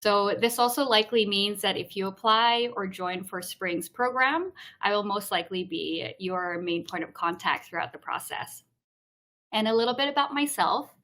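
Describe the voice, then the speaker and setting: A woman in her thirties from Hong Kong presents a live info session with a bright, clear-edged voice. Her pitch sits mid-range for a woman. She explains things in a friendly way, often pausing on "um". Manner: friendly, explanatory, frequent "um" fillers.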